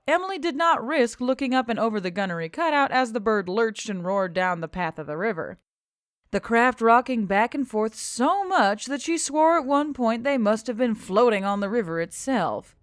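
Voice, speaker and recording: Expressive woman narrator with very lively pitch. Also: dry recording. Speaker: audiobook narrator. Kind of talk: expressive scripted fiction narration. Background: music.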